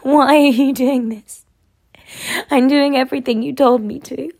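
A woman's voice: sits high, steady tone, a little slow, gravelly, a little mellow, heavily acted. A young woman acting in an adult audio roleplay, playing a submissive character who pleads and often drops into a whisper. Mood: pleading.

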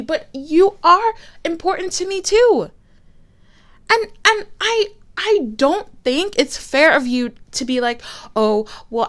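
A woman's voice: high voice, fairly bright tone. A young woman voicing a frustrated girlfriend who swears in exasperated outbursts while fighting with her partner. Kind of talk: one-sided roleplay audio.

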